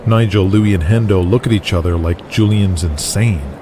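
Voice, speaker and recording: A man's voice: deep voice, normal speed, noisy background. A man reading scripted, dramatic narration as the narrator of an audio drama.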